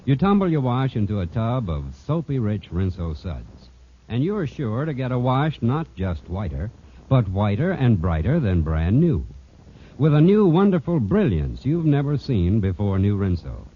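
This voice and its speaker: A man's voice: medium pitch, sing-song, normal speed, husky. A man announcing a scripted 1940s radio advertisement in an emphatic, polished selling manner. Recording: muffled, noisy old radio recording.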